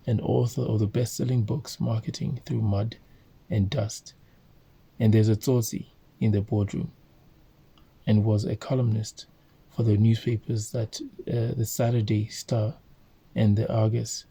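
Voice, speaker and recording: Zambian guy reading a book out loud, lots of ums and restarts, really dark gravelly voice. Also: medium pitch, flat delivery. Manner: halting, many fillers and self-corrections. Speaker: host reading a book aloud. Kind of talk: informal reading and commentary.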